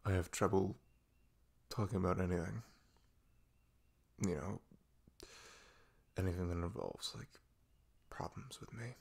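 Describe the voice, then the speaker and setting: A man playing a depressed, hesitant boyfriend in an intimate ASMR roleplay, speaking haltingly and trailing off. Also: low voice, steady tone, vocal fry, husky, heavily acted. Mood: sad, confiding. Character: depressed, hesitant boyfriend opening up to his partner.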